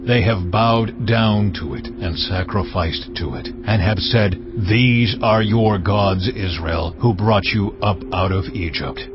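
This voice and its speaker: An actor voicing the Lord as a stern, wrathful deity who speaks in a solemn, measured way in a dramatized scripture reading. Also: male voice, lowish voice, music in the background. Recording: dull lo-fi audio with loud background score.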